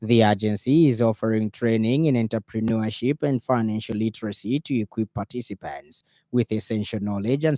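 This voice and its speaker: A man with a Zambian accent reading a radio news bulletin in a formal, measured way. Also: lowish voice, dark tone, dry recording, studio quality.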